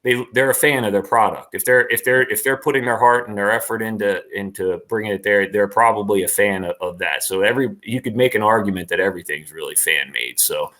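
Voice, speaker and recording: A middle-aged man with a lowish pitch and a bright, forceful edge to his voice. He talks casually, as a guest in a livestream interview. He is emphatic and tends to repeat a point to press it home. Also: a little fast, few pauses. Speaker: interview guest, actor and former professional wrestler.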